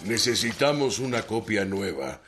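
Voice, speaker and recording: A man's voice: on the low side, very animated, normal speed, a bit bright, faint music behind. An actor playing an earnest, deferential village spokesman in a radio drama.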